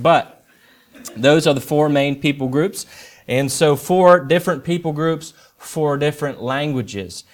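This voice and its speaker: A young adult American man, a missionary, speaking to a church congregation in a conversational, explanatory way with rhetorical questions. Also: medium pitch. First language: English.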